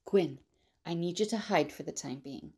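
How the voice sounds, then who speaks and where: A woman's voice: on the low side, normal speed, a little mellow, husky. A woman playing a caring, level-headed woman in an audio drama. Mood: reassuring, hurried.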